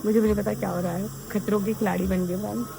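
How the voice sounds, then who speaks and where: A woman's voice: medium pitch, fairly even intonation, quick pace, vocal fry, background noise. A young woman talking to camera in a casual vlog, chatty and rambling, poking fun at herself. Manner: chatty, rambling, self-mocking. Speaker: vlogger.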